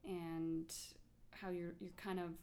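A woman's voice: on the low side, flat delivery, slow pace, a little mellow. A woman co-hosting a casual film discussion, explaining her views in an easygoing way. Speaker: podcast co-host.

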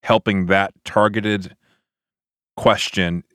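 Low-voiced man hosting a casual podcast. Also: fairly even intonation, slow pace, fairly bright tone, smooth, no room sound, clean studio sound. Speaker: podcast host and pastor.

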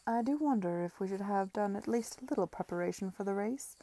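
Mild, distracted gentleman, read by a woman: polite, hesitant, mellow, period story. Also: mid-range voice, a little fast, no echo, lightly acted. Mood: idle. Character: preoccupied, unconvincing young gentleman, mild and evasive.

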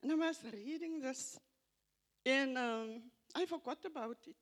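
An older woman with an Afrikaans accent, English not her first language, slowly reading scripture aloud and reflecting on it at a church gathering, halting and repeating phrases. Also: high voice. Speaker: church member giving a tribute.

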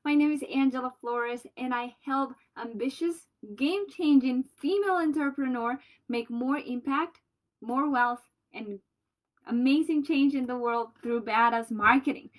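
A woman with a Colombian accent hosting a solo business podcast, bubbly and loud. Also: high-pitched. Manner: bubbly, loud and fast-talking. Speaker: podcast host.

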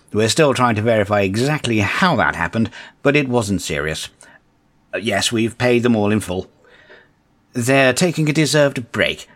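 A man playing a brisk, diplomatic government official in a comic audio drama, formal and composed. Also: on the low side, lightly acted. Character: brisk, smooth-talking male government agency official.

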